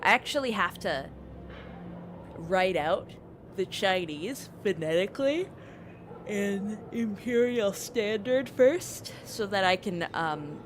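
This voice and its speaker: A chatty woman streamer who rambles and pokes fun at herself as she plays, with a somewhat low voice. A faint hiss of noise sits behind her. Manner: chatty, rambling, self-deprecating. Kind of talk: casual chat while gaming.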